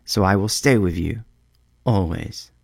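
A man's voice: sits low, unhurried, vocal fry, no room sound, studio quality, heavily acted. A man voicing a small, devoted male swallow in a storybook, tender-hearted and earnest in a pleading way. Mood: sad, devoted.

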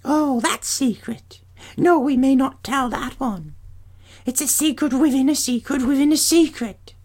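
Shrill squabbling ancient hags. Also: female voice, measured pace, a little mellow. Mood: fearful. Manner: shrieking, squabbling, pleading. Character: shrill ancient crone sisters, squabbling and whining.

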